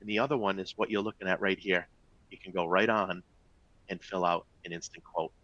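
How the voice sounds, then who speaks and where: A man's voice: low-pitched, fairly even intonation, a little fast, fairly bright tone, no room sound, muffled recording. A middle-aged man with a Boston accent presenting a training webinar, chatty and upbeat, often checking in with "all right?" and "folks". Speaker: travel company executive presenting a webinar.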